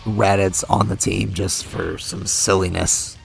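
Gravelly man commenting on his game. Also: sits low, unhurried, over music. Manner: relaxed, thinks aloud with fillers while playing. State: losing his voice, a little sick. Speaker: mobile game video creator. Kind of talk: casual gameplay commentary.

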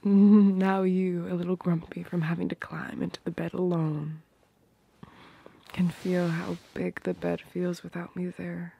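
A woman's voice: lowish voice, flat delivery, mellow, dull audio, exaggerated voice. A woman playing a tender, protective girlfriend in a romantic ASMR roleplay, whispering intimately and affectionately, very close to the microphone. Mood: dreamy, affectionate. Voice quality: breathy, whispery. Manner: slow, intimate, affectionate murmuring. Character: tender, protective, doting girlfriend murmuring at bedtime.

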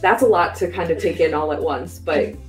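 A woman presents a picture book on a studio panel in a measured, prepared way. Her voice sits on the low side. Faint music plays underneath. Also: poor audio. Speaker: publishing staff presenter. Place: bookshelf studio set, indoors.

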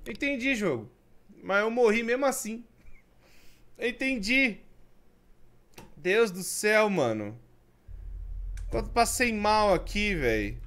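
A Brazilian man in his thirties, a streamer giving jumpy commentary as he plays a horror game, swinging from mumbles to yells. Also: high voice, expressive, slow, long pauses. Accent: Brazilian Portuguese. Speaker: gaming streamer. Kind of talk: let's play commentary. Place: home streaming room with colored lights.

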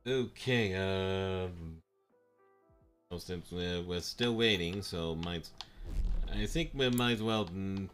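A young man streaming a game live from his room, talking in halting bursts full of fillers and repeated words. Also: low-pitched, a bit bright, soft background music. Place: home room, indoors.

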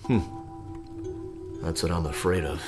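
An actor playing a weary, guarded leader in a post-apocalyptic audio drama, terse and brooding. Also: male voice, low-pitched, fairly even intonation, slow, music in the background. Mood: grim, brooding.

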